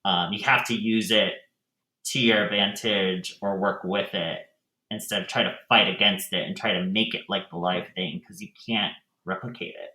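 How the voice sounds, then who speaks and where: A man gives casual, thoughtful interview answers as a director. His voice is on the low side and bright, and he feels his way haltingly through sentences. The sound is roomy and echoey. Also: steady tone.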